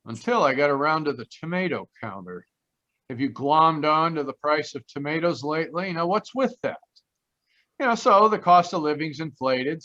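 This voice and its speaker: Man playing bright, wisecracking American private eye. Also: on the high side. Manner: slangy, grumbling, comic hardboiled patter. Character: wry, wisecracking New York private detective, narrating. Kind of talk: old-time radio detective narration. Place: performed from home on a video call. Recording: slightly dull video-call sound.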